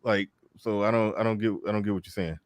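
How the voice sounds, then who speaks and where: A man's voice: fairly low pitch, steady tone, fast talker, dry recording, clean studio sound. A man hosting a live call-in debate show, talking casually with lots of stammered restarts. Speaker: host.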